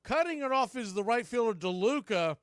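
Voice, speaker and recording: A man's voice: very high voice, lively intonation, fairly quick, clean tone, no room sound, studio quality. A man doing radio baseball play-by-play as the announcer, speaking in short, clipped phrases.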